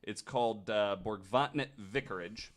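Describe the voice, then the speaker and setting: Low-voiced man telling a story casually. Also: unhurried. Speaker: podcast host. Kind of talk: casual storytelling among friends.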